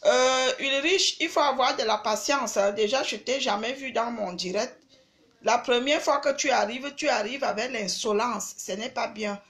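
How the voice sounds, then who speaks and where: A high-voiced older woman with a cold talks to her followers in a live stream. She scolds and lectures with firm emphasis, often repeating a point to drive it home. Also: normal speed, fairly bright tone. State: has a cold. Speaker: livestream host. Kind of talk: live video chat with followers.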